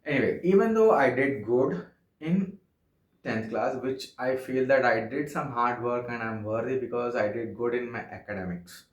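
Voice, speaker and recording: Young Telugu-accented man, sincere and halting, mid-pitch, roomy echo. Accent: Telugu-accented English. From Andhra Pradesh, India. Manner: earnest, halting, frequent "okay" fillers. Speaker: vlogger. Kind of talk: personal vlog monologue. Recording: echoey room.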